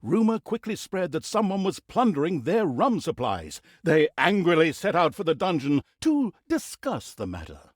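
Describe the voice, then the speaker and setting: A man's voice: on the high side, wildly swooping pitch, normal speed, hardly any pauses, no room sound, studio quality. A man playing a mock-grand, sardonic narrator of a villain's exploits in a fantasy video game, telling the story in a hammy theatrical way. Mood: wry, dramatic.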